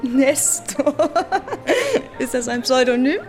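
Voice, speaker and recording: A woman's voice: sits high, little pitch movement, takes it slowly, a little mellow, soft background music. Coy, self-possessed young woman. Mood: coyly amused. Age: young adult. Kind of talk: German radio crime drama dialogue.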